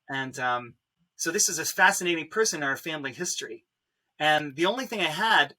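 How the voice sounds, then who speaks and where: A man speaking as a panelist, explaining his work and background in a measured, personal way. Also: on the high side, bright, forceful tone, low-quality recording. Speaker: panelist, composer and pianist.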